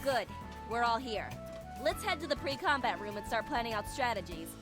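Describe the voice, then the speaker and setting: A woman's voice: a little high, expressive, moderate pace, music in the background, natural voice. A woman playing a curt, businesslike young team member in a scripted video game. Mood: curt, unamused.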